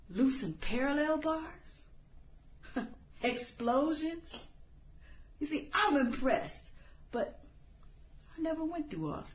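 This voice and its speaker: Radio drama role for an actress: bitter, sarcastic female killer, higher-pitched, theatrical, wide swings of tone. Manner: sarcastic, theatrical, drawn-out phrasing with breathy whispers. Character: bitter, taunting adult woman, vengeful former high school outcast turned killer.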